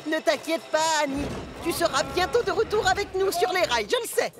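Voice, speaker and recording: Casting: impulsive little cartoon train engine, very high childlike voice, big swoops, music underneath. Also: female voice, a little fast. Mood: reassuring. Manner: expressive, childlike. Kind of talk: animated children's series dub.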